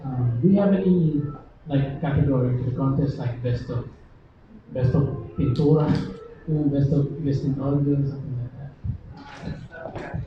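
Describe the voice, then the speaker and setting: Halting male reporter, far and echoey. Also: mid-range voice, background noise. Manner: halting, says "you know" often. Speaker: online media reporter. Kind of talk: asking a question at a press conference. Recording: distant, echoey hall, dull.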